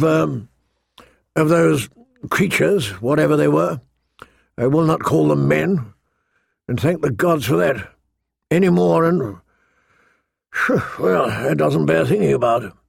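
A man voicing a gruff, grumbling old male military commander who sounds weary and disdainful. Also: a little high, slow pace, long pauses, no echo, clean studio sound, heavily acted. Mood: grave.

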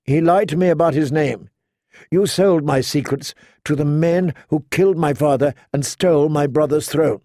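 A man reading an audiobook, voicing a cold, commanding young queen in measured tones close to his own voice. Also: on the high side, dark tone, no room sound, close to an everyday voice.

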